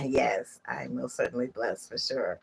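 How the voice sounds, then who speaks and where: A young woman talks to her livestream viewers from bed. Her voice sits low and breathy, and she drifts between sleepy half-whispers and bursts of laughter. She is recorded on a phone camera in a bedroom at home. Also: slow pace. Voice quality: husky, breathy voice. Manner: drowsy, drifting between murmurs and sudden loud laughs. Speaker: livestream host. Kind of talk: casual livestream chat with viewers.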